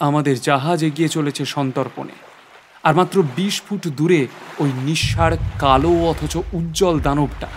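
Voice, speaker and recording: A man's voice: a little high, moderate pace, a little hiss or hum, lightly acted. An actor playing an earnest sea adventurer who narrates his perilous voyage in a theatrical, suspenseful audio drama style. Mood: suspenseful, dramatic. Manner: theatrical, suspenseful, repeats words breathlessly in peril. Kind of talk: dramatised first-person story narration.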